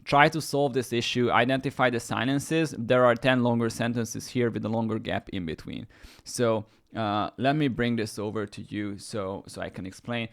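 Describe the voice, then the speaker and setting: A man's voice: medium pitch, fairly even intonation, normal speed. A man whose first language is Hungarian, hosting a casual screen-share tech tutorial, talking loosely with many fillers and restarts. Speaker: YouTube tech host.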